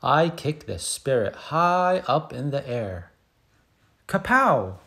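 A man reading a picture book as an excited little schoolgirl, expressive, with emphatic sound-effect words. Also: mid-range voice, slow, gravelly, exaggerated voice. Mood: playful, emphatic. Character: excited little schoolgirl telling her first day. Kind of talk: picture book read-aloud.

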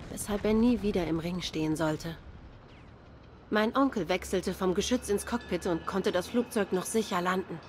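A woman acts out a young cat woman in a noir game, grieving and guarded. Her voice is on the low side, subdued, with a bitter, sardonic edge. There is some noticeable ambient noise behind her. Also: a little mellow, close to an everyday voice. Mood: reflective. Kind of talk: noir game dialogue acting.

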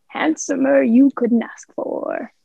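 A woman's voice: sits high, fairly even intonation, slow pace, creaky, husky, no echo, lo-fi sound, heavily acted. A young woman playing a wily, menacing male pirate captain in an amateur radio play, with drawling rustic pirate speech. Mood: sly.

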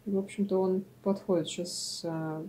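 A woman's voice: lowish voice, fairly even intonation, normal speed. A woman in her thirties hosting a craft vlog from a cluttered home workshop, chatting with viewers in a friendly, casual way. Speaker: woodcarving channel host. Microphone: camera mic at a distance. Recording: roomy, distant, noisy workshop audio.

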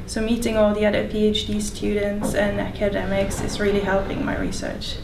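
A young woman from Austria, a PhD student, speaking clearly and earnestly to camera. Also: mid-range voice, monotone, normal speed. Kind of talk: interview to camera. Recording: roomy, distant, noisy.

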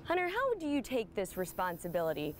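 A young woman reporter asks questions in an upbeat, lively voice. She is recorded on a lapel mic in an open stadium, with a faint outdoor hum behind her. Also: mid-range voice, brisk. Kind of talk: sports feature interview. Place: outdoors in a large empty stadium.

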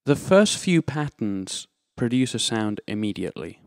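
A man presenting an instructional demonstration step by step, with measured phrasing and pauses, speaking into a close dynamic microphone. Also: mid-range voice, sing-song, very noisy. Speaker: presenter of a synthesizer tutorial. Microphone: external dynamic microphone, close.

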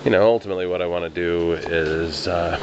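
A low-pitched man with a gravelly, fry-heavy voice walks through his basement setup in a relaxed, rambling way. He hesitates often with fillers. The camcorder audio carries a steady hum of fans and air conditioning. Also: brisk, highs cut off. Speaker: home winemaker. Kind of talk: casual walkthrough vlog. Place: home basement. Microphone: handheld camera. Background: fan and air conditioning hum.

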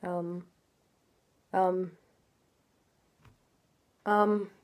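A woman's voice: on the low side, level tone, very slow, a little mellow. A teenage girl chatting casually while playing a game, a little nasal from congestion, talking from a small room at home into a webcam. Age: teens. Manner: chatty, rambling, many fillers. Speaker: gaming channel host. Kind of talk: casual gameplay commentary.

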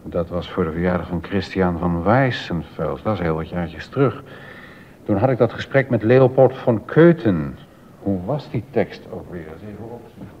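An actor playing an absorbed middle-aged male composer in a drama, musing haltingly and correcting himself as he thinks aloud. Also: natural voice. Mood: pensive, working. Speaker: actor in a docudrama. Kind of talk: Dutch radio drama acting, thinking aloud. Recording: dull lo-fi sound with rumbling noise.

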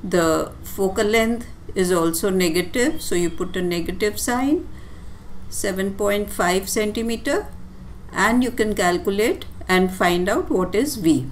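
A woman teacher giving a step-by-step tutorial explanation in a deliberate, instructive way with short, pausing phrases. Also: medium pitch, a little hiss or hum. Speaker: physics teacher. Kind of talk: step-by-step tutorial explanation over handwritten working.

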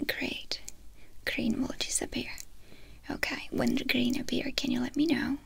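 Young female ASMR doctor: whispered, crackly, gentle step-by-step instructions. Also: high-pitched. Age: young adult. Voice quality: breathy, unvoiced whisper. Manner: gentle, reassuring, softly instructing. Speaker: ASMR performer playing a doctor. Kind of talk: ASMR medical exam roleplay. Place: small indoor room dressed as a clinic. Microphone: close microphone in front of the speaker.

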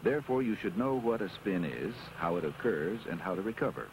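A man's voice: deep voice, animated, brisk, noisy background. An American man narrating a scripted training film in an instructive, emphatic way, on a 1970s film soundtrack.

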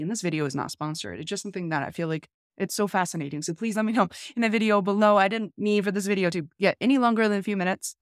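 A woman's voice: fairly low pitch, no room sound, clean studio sound. A woman in her thirties talking casually and chattily to camera, like a tech vlog host. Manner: chatty, informal. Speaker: host, tech content creator. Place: small room at home, indoors.

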